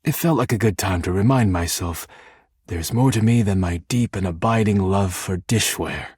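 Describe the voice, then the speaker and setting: Casting: a proud, solemn living dish for a video game; low, breathy-rough voice, formal measured diction, reserved poet's temper, close dry sound. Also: male voice, a little mellow, no room sound. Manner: formal, measured, grave, carefully worded diction. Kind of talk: video game character dialogue.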